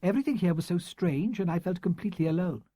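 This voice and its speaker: A proud, bitter older schoolteacher, as a male narrator puts her on, speaks in clipped, indignant phrases. The voice sits higher than the reader's own, with a mellow, slightly husky tone in a dry, close recording. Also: fast talker, no echo.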